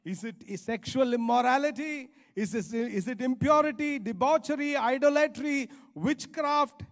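A middle-aged male preacher with an Indian accent delivers a sermon in a high voice. He is emphatic and repeats phrases to drive them home. His handheld microphone carries through a large, reverberant auditorium. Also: normal speed. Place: large church auditorium stage. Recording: reverberant hall sound.

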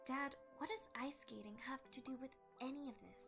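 A woman voicing a moody sixteen-year-old pony princess in a cartoon, expressive and sulky. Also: high-pitched, brisk, creaky, soft background music. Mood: wistful.

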